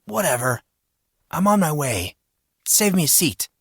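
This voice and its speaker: A man's voice: fairly high pitch, sing-song, a little slow, a bit bright, husky, no echo, clean studio sound, voice pushed up, exaggerated voice. A man voicing an irritable, sarcastic fourteen-year-old schoolboy in an exasperated manner for an audio story. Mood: sarcastic, annoyed.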